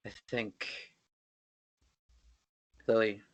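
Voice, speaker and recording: A man playing a gentle, heartbroken partner in a table read of a drama, speaking softly and haltingly. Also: fairly low pitch, little pitch movement, highs cut off. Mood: hurt, probing. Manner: halting, soft-spoken. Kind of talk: acted screenplay table read.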